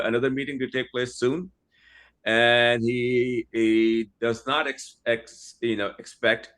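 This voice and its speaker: A man's voice: medium pitch, fairly bright tone. A man from India interviewing a guest, speaking conversationally with many hesitations and fillers and the odd chuckle.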